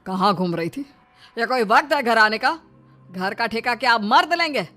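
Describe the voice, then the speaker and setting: A woman's voice: a little high, lively intonation, moderate pace, bright, forceful tone. A woman voicing a harsh, scornful older father-in-law who scolds, in a Hindi cartoon story. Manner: scolding, contemptuous. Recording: music behind the voice.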